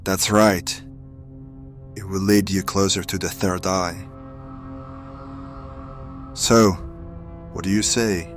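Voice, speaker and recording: Audio drama: male actor as cool, scheming turncoat with formal phrasing, low and slow, music underneath. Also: flat delivery. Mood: insistent. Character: sly, self-serving male ally turned against former masters.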